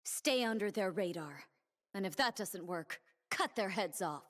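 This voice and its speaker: An actress playing a stern, proud female warrior in a fantasy video game, with dramatic, measured delivery and dry quips. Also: medium pitch, expressive, fairly bright tone. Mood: brisk, defiant. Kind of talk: video game character voice lines.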